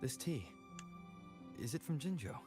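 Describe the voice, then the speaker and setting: A man's voice: mid-range voice, big pitch swings, a little slow. A man playing a reserved, guarded protagonist in a video game. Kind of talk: scripted video game voice acting. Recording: quiet game audio with music.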